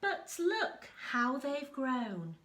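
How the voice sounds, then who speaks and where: A woman reading a picture book aloud to young children, expressively, pausing to explain things as an infant school storyteller. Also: sits high, sing-song, fairly bright tone.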